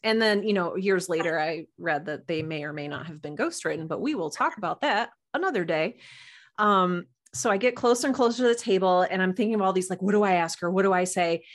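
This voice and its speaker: A woman guest on a podcast, telling personal anecdotes in a casual, animated and halting way over a video call. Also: on the low side.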